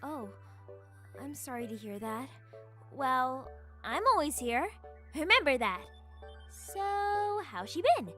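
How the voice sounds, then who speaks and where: A woman's voice: sits high, lively intonation, takes it slowly, pauses often, bright, forceful tone, heavily acted. A woman voicing a hyper, caring young female best friend in a visual novel, perky and affectionate, with music behind. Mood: gentle, caring. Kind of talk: visual novel character read-aloud.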